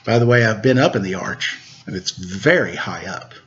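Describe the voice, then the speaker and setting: A man reading a children's picture book aloud, expressive and sing-song on the rhymes, with chatty asides. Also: on the low side, moderate pace, a little hiss or hum. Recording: dull lo-fi sound.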